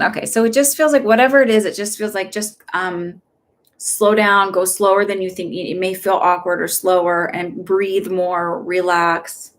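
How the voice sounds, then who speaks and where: Middle-aged woman, Texas-raised, no accent, lowish voice; relaxed, rambling, upbeat live-stream reader. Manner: chatty and upbeat, rambling, laughs often; gentle and reassuring when giving advice. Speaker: psychic medium giving live readings. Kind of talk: casual live-stream readings.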